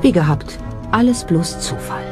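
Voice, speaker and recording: A woman's voice: fairly low pitch, animated, a little slow. A woman delivering scripted documentary narration. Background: music behind the voice.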